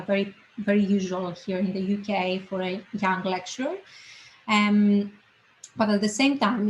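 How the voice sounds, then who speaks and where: Greek accent woman giving a talk on a video call, halting with lots of ums, bit of background noise. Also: medium pitch. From Greece. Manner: hesitant, halting delivery with frequent ums. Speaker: research fellow, invited panel speaker. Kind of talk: informal presentation at an online roundtable. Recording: noisy video call audio.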